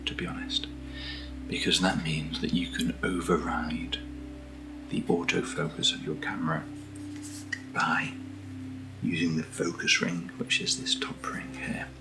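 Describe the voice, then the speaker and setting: A British man speaking in a soft, breathy near-whisper as he hosts a casual ASMR video, gentle and unhurried, close to the microphone. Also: rumbling low, flat delivery, music in the background, rough recording. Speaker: ASMR video host reviewing camera gear. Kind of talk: soft-spoken ASMR, casual unboxing chat.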